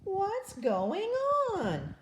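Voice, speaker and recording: A storybook chimpanzee, male and grumpy, pipes up high and loud with indignation, as a woman acts him out. He sounds put out and in total denial. The recording has clear noise in it. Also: huge pitch swings, extremely slow, full character voice. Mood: indignant.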